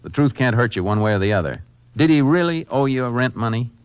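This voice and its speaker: A man playing a methodical Texas Ranger in a 1950s radio drama, narrating and talking in a measured, authoritative, matter-of-fact way. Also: medium pitch, lively intonation, smooth, dry recording, natural voice. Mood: firm. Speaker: lead actor and narrator. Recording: dull lo-fi 1950s radio recording.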